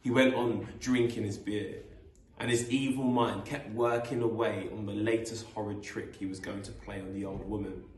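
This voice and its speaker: A man reading a children's story aloud in an expressive, deliberate storytelling manner. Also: lowish voice. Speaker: school vice principal reading a children's story. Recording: echoey room.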